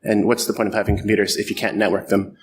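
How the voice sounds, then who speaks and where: A man's voice: lowish voice, flat delivery, fast, slight background noise. A middle-aged man from Canada giving a conference talk, self-deprecating and joking, with many ums and uhs. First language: French or English, bilingual. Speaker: conference speaker. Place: conference hall.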